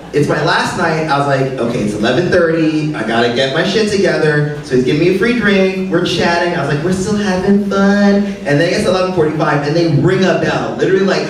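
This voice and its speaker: A man in his late thirties doing a live stand-up set, animated and exaggerated, swooping into high squeals. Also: background noise. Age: late 30s. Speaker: stand-up comedian. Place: comedy club stage, live audience. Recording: roomy club sound from a distance, crowd laughter.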